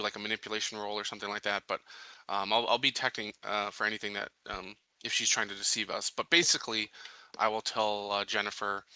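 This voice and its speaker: A man with a low but very bright, forceful voice plays a tabletop roleplaying game. He improvises formal lines haltingly, picking his words as he goes. The sound is close and very dry. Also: no room sound, poor audio. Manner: halting, formal, measured wording.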